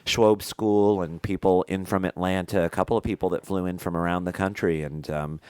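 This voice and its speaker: A man's voice: deep voice, steady tone, a little fast, a little mellow, clean tone, dry recording. A man in his thirties co-hosting a casual podcast, congested from a head cold and rambling through many fillers. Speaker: podcast co-host. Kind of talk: casual chat.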